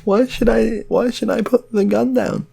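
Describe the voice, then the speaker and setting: A man voicing a distraught, stubborn, self-loathing man in an improvised story, in a high, strained, tearful whine, stammering and repeating himself. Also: steady tone, normal speed, vocal fry, warm tone, breathy edge, heavily acted. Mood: self-pitying.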